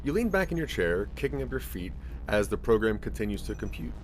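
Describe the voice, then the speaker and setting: A man's voice: fairly low pitch, very animated, brisk, faint music behind, low-quality recording. A man narrating a scripted interactive audio story in the second person, with a theatrical storytelling delivery.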